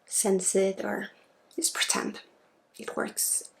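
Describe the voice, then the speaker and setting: Swedish accent lady doing spiritual asmr, real slow n creaky, breathy, goes into whispers, pauses a lot. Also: medium pitch, monotone, a little hiss or hum. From Sweden. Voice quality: breathy, often dropping into a whisper. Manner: unhurried, halting, with pauses mid-sentence. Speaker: spiritual healer and ASMR host. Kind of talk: spiritual ASMR, guided energy healing.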